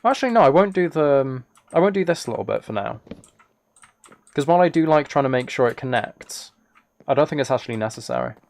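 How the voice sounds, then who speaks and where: A man's voice: mid-range voice, lively intonation, fast talker, gravelly, darkish tone. A man live streaming a game, rambling and thinking aloud as he chats casually with viewers. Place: indoors at a computer, keyboard clicks behind.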